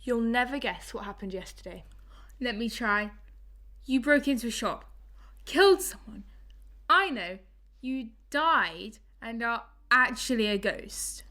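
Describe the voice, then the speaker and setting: A woman playing a cheeky, excitable young village girl in a wartime audio drama, teasing and dramatic. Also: fairly high pitch, unhurried. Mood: teasing, excited.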